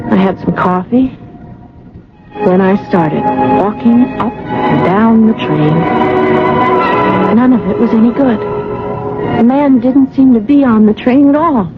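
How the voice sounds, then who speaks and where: A woman's voice: mid-range voice, lightly acted. An actress playing a lovesick, dreamy young woman in a radio drama, breathless and pleading. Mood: dreamy, dazed. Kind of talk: radio drama acting with narration. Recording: tinny old radio recording with music.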